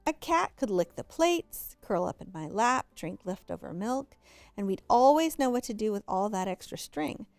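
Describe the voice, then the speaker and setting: A woman's voice: mid-range voice, expressive, moderate pace, creaky, no echo. A woman voicing an eager little girl pleading for a pet in a storybook. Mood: coaxing.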